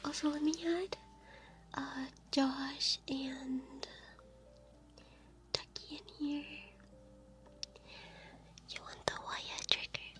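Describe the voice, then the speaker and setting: A woman whispering softly on a live stream, chatting with her viewers and giggling through her words. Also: high voice, steady tone, drawn-out words, long pauses, background noise. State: laughing to tears.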